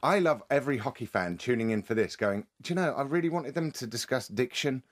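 Male host, middle pitch, teasing banter, casual sports chat. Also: expressive, a little fast. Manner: teasing, wisecracking. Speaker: podcast host.

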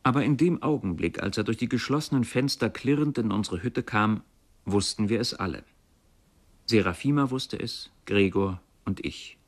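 An actor playing a brooding river fisherman in a literary radio play, with measured, reflective storytelling. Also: fairly low pitch, close to an everyday voice. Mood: brooding, remorseful. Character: brooding poor river fisherman, remorseful and fatalistic.

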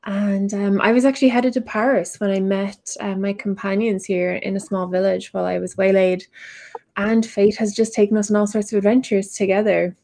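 A woman speaks in character in a tabletop roleplay game held over video call. Her voice has an average pitch, with conversational delivery. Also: level tone, normal speed, dark tone. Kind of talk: in-character introduction.

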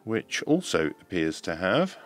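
Man, low pitch, unhurried pace, measured step-by-step tutorial commentary, background music. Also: big pitch swings. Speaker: host of an electronics teardown channel.

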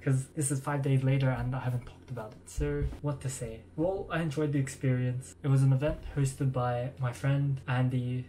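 A teenage Australian man with a relaxed, chatty, self-deprecating manner, talking to camera in a small bedroom through a wired earphone mic. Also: medium pitch, slight background noise, low-quality recording. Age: teens. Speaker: vlogger. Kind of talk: casual vlog talk to camera. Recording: music playing underneath.

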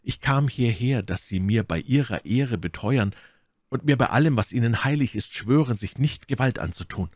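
A man reading aloud, voicing an earnest, devout young officer who speaks in a hushed, grave manner. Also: mid-range voice, expressive, moderate pace, no echo, studio quality, pitched above the performer's own voice, natural voice. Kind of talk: audiobook character reading.

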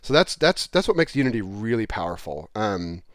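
A man's voice: fairly low pitch, sing-song, normal speed, no room sound. Plain-spoken man, dry close sound. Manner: informal, plain-spoken explaining. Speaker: presenter of a software how-to. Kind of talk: casual off-the-cuff tutorial talk. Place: small indoor room, plain wall. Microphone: headset microphone.

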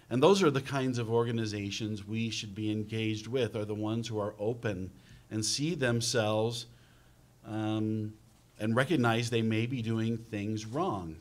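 A middle-aged man speaking as a panelist in a university lecture room, earnest and thinking aloud, into a table microphone. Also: mid-range voice. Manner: earnest, repeats words while thinking aloud. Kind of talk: panel discussion. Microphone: table mic on stand.

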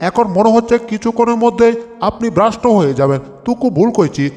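A man's voice: very high voice, expressive, quick pace, dark tone. A man playing a bungling comic TV weather presenter in a Bengali cartoon dub, announcing in a mock-formal way. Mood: mock-serious. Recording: long reverb over background music.

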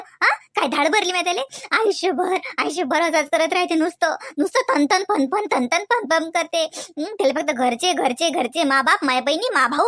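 A performer playing a bitter, quarrelsome young wife and mother, who complains rapidly and repeats herself. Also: sits high, bright, forceful tone, no room sound, studio quality. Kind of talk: homemade family skit.

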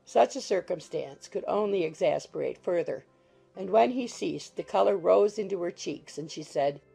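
Female narrator for a classic novel audiobook, lowish and mellow. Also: expressive, moderate pace, creaky, slightly rough, soft background music, low-quality recording. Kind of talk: scripted literary narration.

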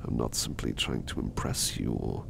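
A man playing a gentle, protective servant in an ASMR roleplay, speaking in a soft breathy whisper with tender, polite reassurance. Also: normal speed, noisy background, heavily acted. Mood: tender, reassuring. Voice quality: soft, breathy whisper with low creaky rasp. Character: gentle, protective male servant soothing his master to sleep. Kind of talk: ASMR roleplay, personal attention.

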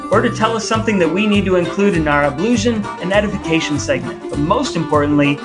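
Male podcast host, fairly high voice, casual conversational delivery, faint music behind. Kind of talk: casual podcast talk between brothers.

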